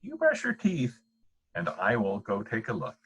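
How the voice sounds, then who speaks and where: A man's voice: lowish voice, wildly swooping pitch, measured pace, fairly bright tone, breathy edge, dull audio, heavily acted. A man reading the part of a firm, patient father in a bedtime storybook, explaining things matter-of-factly. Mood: reassuring.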